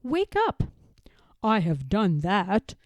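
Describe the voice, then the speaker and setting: A woman's voice: medium pitch, huge pitch swings, slow, dry recording, pitched below the performer's own voice. A woman voicing a fussy, literal-minded male toad in a children's storybook, emphatic and easily upset. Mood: matter-of-fact, orderly. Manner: emphatic, dramatic, wailing when upset.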